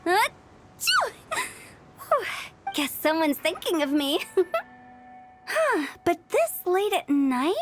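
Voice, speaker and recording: A woman's voice: extremely high pitch, sing-song, slow, long pauses, faint music behind, heavily acted. A woman voicing a playful, quirky teenage girl in a video game, casual and whimsical.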